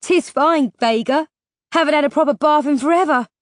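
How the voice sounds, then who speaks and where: A woman's voice: high voice, moderate pace, no room sound, studio quality, pitched above the performer's own voice, close to an everyday voice. Woman playing a cheeky, bold young woman. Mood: amused. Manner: cheeky, colloquial. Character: teasing, bold young woman, rough-edged forest survivor.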